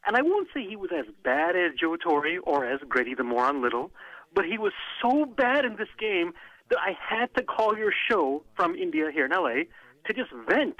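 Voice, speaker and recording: A man calling a sports radio show, arguing his point in an animated way and often cutting in. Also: high-pitched, moderate pace, no echo. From Los Angeles. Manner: animated, argumentative, interrupts. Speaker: caller. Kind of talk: excited sports radio call. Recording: phone line.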